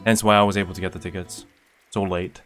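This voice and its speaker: A young man from Indonesia chats loosely with his viewers, full of fillers and restarts. His voice sits on the low side. Soft guitar music plays faintly underneath. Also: fast talker. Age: young adult. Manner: relaxed, rambling with fillers and restarts. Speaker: streamer. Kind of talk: casual chat reading viewer comments.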